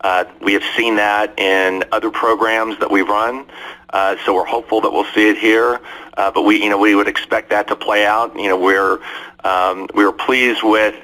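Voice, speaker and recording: A man speaking as a company executive answering on an earnings call, in measured, hesitant corporate phrasing. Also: fairly low pitch, level tone, bright, forceful tone, rough recording.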